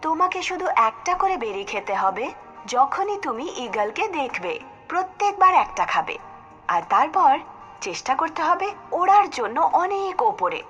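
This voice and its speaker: A woman's voice: high voice, normal speed, muffled recording. A woman voicing a patient, encouraging mother who reassures her children and tells them a story. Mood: reassuring. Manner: gentle and reassuring, lively storybook telling. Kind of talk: cartoon dubbing and storybook narration. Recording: background music under the voice.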